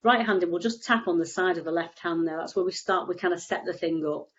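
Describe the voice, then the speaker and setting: A woman's voice: lowish voice, fast, muffled recording, a little hiss or hum. A woman with a cold and a stuffy nose, explaining tapping casually on a video call, rambling with frequent restarts. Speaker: EFT tapping practitioner, guest.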